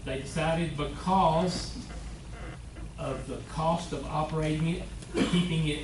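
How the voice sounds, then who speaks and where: Loud older man in an echoey council chamber. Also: fairly high pitch, fairly even intonation, unhurried. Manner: loud, earnest, defensive. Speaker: city council member. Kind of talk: council meeting remarks. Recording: echoey room, far mic, noisy.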